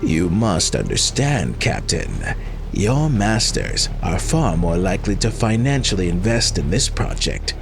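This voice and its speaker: A man voicing a smug alien crime boss in an audio drama, formal, deliberate and menacing. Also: fairly low pitch, big pitch swings, music in the background. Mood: mocking, persuasive. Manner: formal, refers to self in third person, deliberate. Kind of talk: audio drama villain acting.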